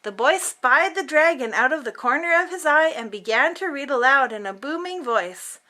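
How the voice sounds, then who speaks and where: A woman's voice: high voice, lively intonation, normal speed, pushed and bright. A woman reading a children's picture book aloud as host, theatrically, drawing out words for emphasis.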